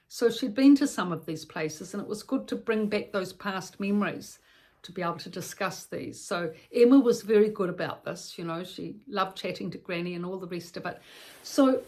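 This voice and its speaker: A woman's voice: lowish voice, a little mellow. A woman with a New Zealand accent gives practical advice as informal storytelling, in a conversational, rambling way with repetitions and self-corrections. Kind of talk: informal instructional storytelling addressed to the listener.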